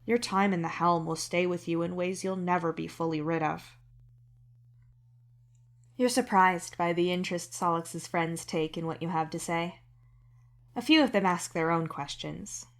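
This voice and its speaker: A woman's voice: lowish voice, moderate pace. A woman reading scripted fiction as a narrator.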